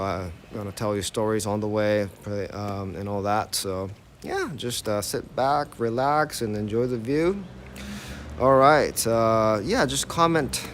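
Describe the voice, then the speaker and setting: A man's voice: on the low side, slight background noise. A young man with an American accent, guiding a live-streamed walking tour in a casual, chatty way and reading viewer comments aloud outdoors in the early morning. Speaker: tour guide.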